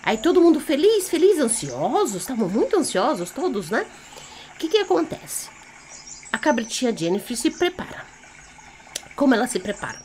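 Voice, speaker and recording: A woman's voice: sits high, normal speed. A Brazilian woman telling a children's story theatrically and off the cuff, in a conversational way that checks in with listeners. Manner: animated, conversational, addressing listeners with check-ins.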